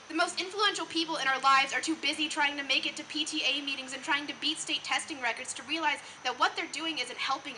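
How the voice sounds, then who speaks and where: High, bright teenage girl performing slam poetry. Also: low-quality recording. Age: teens. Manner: emphatic, earnest, rhetorical delivery. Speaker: slam poet. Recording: noticeable background noise, live event.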